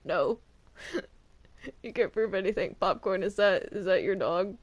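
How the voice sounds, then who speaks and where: A woman's voice: mid-range voice, expressive, a little slow. Woman chatting casually during game roleplay. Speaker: player in a Minecraft roleplay. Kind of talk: casual in-character gaming chat.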